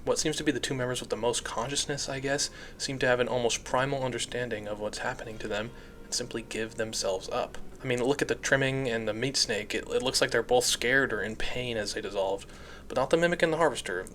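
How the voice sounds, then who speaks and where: A man's voice: medium pitch, brisk, a bit bright, slight background noise. A man giving casual scripted recap commentary as a video essayist, conversational and opinionated.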